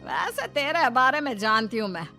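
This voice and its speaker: An older cartoon mother-in-law who nags and boasts, acted out by a woman. Her delivery is bright and forceful, jumping widely in pitch as she taunts and scolds, with faint music behind. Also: sing-song, moderate pace, exaggerated voice. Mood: bossy. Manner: scolding, taunting, dramatic exclamations.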